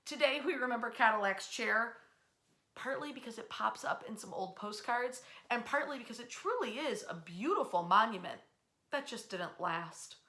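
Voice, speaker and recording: A woman hosting a history storytelling series, talking in a chatty, emphatic way with dramatic pauses and drawn-out words. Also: fairly high pitch, expressive, sharp tone. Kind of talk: animated storytelling to an audience online.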